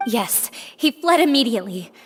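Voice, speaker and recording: A woman's voice: fairly high pitch, sing-song, normal speed, big-room sound, over loud music. An actress playing a frightened, deferential young woman in a video game, anxious and apologetic in manner. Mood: anxious pleading.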